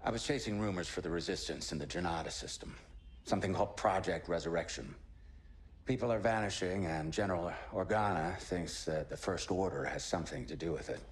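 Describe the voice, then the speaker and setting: A man's voice: low voice, a little fast, close to an everyday voice. A man playing a weary, dry-witted alien rebel pilot in a video game, talking matter-of-factly. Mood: serious, matter-of-fact. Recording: echoey ship interior, effects noise behind.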